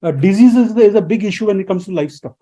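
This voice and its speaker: A man's voice: sits high, fast, warm tone. A man, a guest explaining things in a radio interview and posing rhetorical questions as he lectures. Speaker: guest expert.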